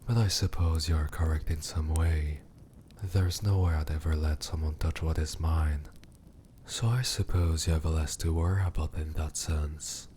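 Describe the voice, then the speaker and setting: A man playing a cruel, possessive demon king in an ASMR roleplay, speaking in a slow, deliberate, condescending and softly menacing way. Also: a bass voice, fairly even intonation, slight background noise. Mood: amused, menacing. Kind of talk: scripted ASMR character roleplay.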